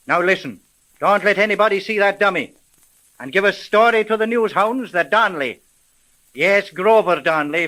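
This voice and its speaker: A man playing a wisecracking, commanding homicide sergeant in a 1930s radio drama, with colloquial, brisk speech. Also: high voice, sharp tone, poor audio. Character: gruff, wisecracking male police homicide sergeant.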